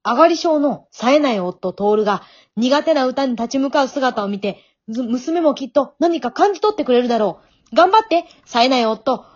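A woman's voice: high voice, lively intonation, normal speed, darkish tone, muffled recording. A woman playing an earnest, pushy young aspiring singer in an amateur radio drama, speaking with emphatic encouragement. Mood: rousing, pushy. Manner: emphatic, exhorting.

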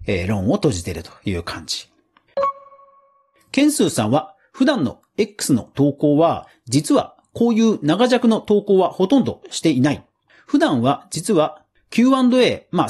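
A fairly high-pitched male podcast host with a husky edge to his voice. His delivery swings widely up and down as he explains things casually on his own, stressing phrases and pausing deliberately. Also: a little mellow. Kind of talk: casual solo commentary.

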